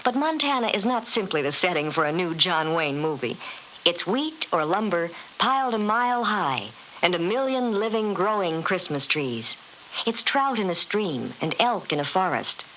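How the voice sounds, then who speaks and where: A woman reading scripted travelogue narration in a polished, descriptive, measured manner. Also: on the low side, sing-song, a bit bright, rough recording. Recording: hissy old radio recording.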